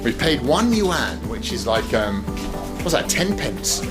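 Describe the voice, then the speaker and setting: A British man with an excitable, exclamatory way of talking, giving casual travel vlog commentary. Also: mid-range voice, unhurried. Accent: British English. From Britain. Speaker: travel vlogger. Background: background music.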